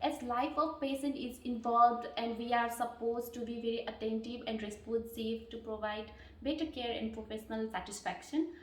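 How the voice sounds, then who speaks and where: A woman in her thirties from Nepal, answering mock job interview questions earnestly, pausing with fillers and restarting phrases. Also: a little high, fairly even intonation, fairly bright tone. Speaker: job candidate. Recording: echoey room, distant mic.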